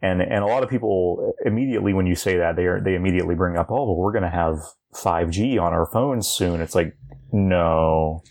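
Male podcast co-host. Deep, fry-laden voice, conversational, opinionated and explanatory. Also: a little fast, a little mellow. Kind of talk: casual chat about video game news.

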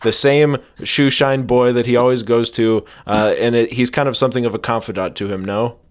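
A man's voice: medium pitch, steady tone, smooth. A man chatting casually and hesitantly as he introduces clips on a comedy show. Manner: halting, hesitant.

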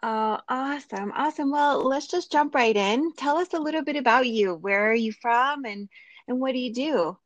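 A woman's voice: high-pitched, moderate pace, no room sound. A young adult woman hosting a podcast, upbeat as she opens the show and interviews a guest. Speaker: podcast host.

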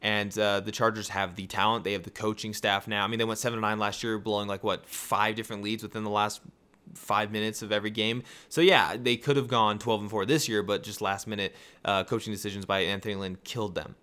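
A young man hosts a casual sports podcast, his lowish voice raspy and worn with fatigue. He rambles, restarts his sentences and fires off excited rhetorical questions. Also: brisk. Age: young adult. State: tired. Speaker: podcast host.

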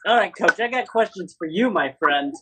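A man's voice: high-pitched, lively intonation, normal speed, noisy background. A man interviewing a running coach on a video call, friendly and upbeat, laughing often.

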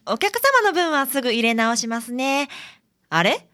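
Woman acting a bright Kansai detective's assistant. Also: sits high, a little slow, heavily acted. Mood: curious. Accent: Kansai Japanese. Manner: casual, familiar, animated. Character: friendly, casual young female detective's assistant. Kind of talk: community radio drama acting.

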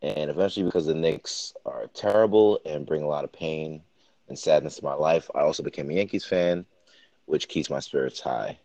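A man's voice: extremely low pitch, moderate pace, mellow, no room sound. A man originally from Jamaica, raised in the Bronx, co-hosting a podcast in casual chat, hesitant and pedantic. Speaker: podcast co-host. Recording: dull dry lo-fi sound.

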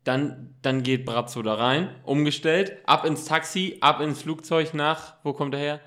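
A man's voice: fairly high pitch, fairly even intonation, measured pace, fairly bright tone. A man co-hosting a casual podcast, giving opinions in a rambling way with many broken-off sentences. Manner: rambling, breaks off and restarts sentences. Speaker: podcast co-host.

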